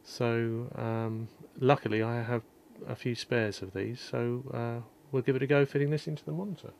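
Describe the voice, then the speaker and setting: A man calmly explaining an electronics repair at his workshop bench, step by step, with frequent ums and uhs. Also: medium pitch, moderate pace, gravelly, slight background noise. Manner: calm, methodical, many ums and uhs. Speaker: electronics restorer. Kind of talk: technical repair walkthrough.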